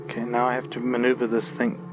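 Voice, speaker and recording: A man's voice: medium pitch, monotone, quick pace, lo-fi sound. A man giving casual gameplay commentary, chatty and wry as he thinks aloud. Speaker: game reviewer playing and commenting. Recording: loud music behind the voice. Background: loud game music.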